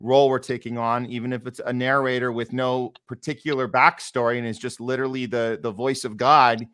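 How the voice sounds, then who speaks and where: A man's voice: mid-range voice, level tone, fairly quick, a bit bright, clear, resonant tone. A man hosting a casual interview as a director and actor, talking emphatically and building on what others say.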